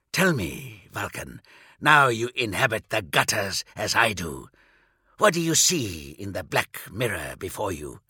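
A man voicing a bitter, fallen warrior villain in an audiobook, husky, sardonic and menacing as he taunts. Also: fairly low pitch, sharp tone, no echo, studio quality, heavily acted.

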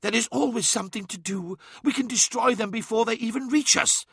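A man's voice: very high-pitched, brisk, gravelly, a bit bright, breathy edge, no echo, studio quality, pitched above the performer's own voice, exaggerated voice. A man voicing an anguished male sorcerer who pleads urgently and fiercely defends his home. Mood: defiant. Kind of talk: audiobook character voice.

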